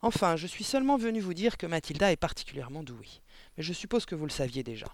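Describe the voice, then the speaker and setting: A man's voice: on the high side, lively intonation, fast talker, dry recording. A man voicing a young woman schoolteacher in a storybook, polite, articulate and insistent.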